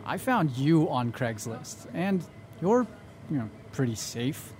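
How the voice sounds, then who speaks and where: A woman's voice: sits low, big pitch swings, slow, exaggerated voice. A woman playing a sardonic, irresponsible young male roommate in a naturalistic comedy audio drama. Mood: wry.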